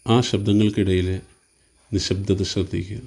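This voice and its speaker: Meditation guide: Malayali man, lowish pitch, very soft dark tone, slow soothing instructions, spaced phrases. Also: flat delivery, slightly rough.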